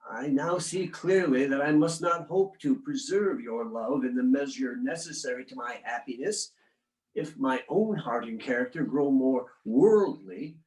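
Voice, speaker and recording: A man plays a devoted, remorseful husband of the 19th century reading his letters aloud. He speaks very slowly, with solemn, formal weight. The sound is roomy and echoing. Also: mid-range voice, a little mellow, close to an everyday voice. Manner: grave, formal, deliberate oratory. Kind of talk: dramatic reading of historical letters.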